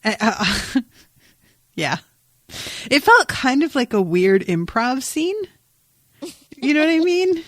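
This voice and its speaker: A woman's voice: sits high, slow, long pauses, no room sound. A woman hosting a podcast, casually recapping a TV episode in a wry, sweary way and acting out bits of dialogue. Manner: wry, sweary, animated retelling with acted-out quotes. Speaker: podcast host.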